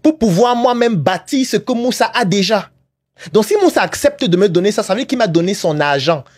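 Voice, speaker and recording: A fairly high-voiced man holds forth on a podcast, pressing his points with one rhetorical question after another. His voice sounds dry and close, with little room around it. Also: animated, a little fast, a little mellow, no echo. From Africa. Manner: emphatic, lecturing, rhetorical questions. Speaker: podcast guest, entrepreneurship coach. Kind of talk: animated podcast debate. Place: studio podcast set.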